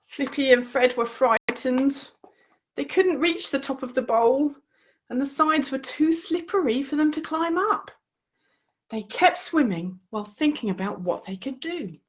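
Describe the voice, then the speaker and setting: A woman reading her children's storybook aloud in an expressive, encouraging way. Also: high-pitched, measured pace. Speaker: author reading her own book.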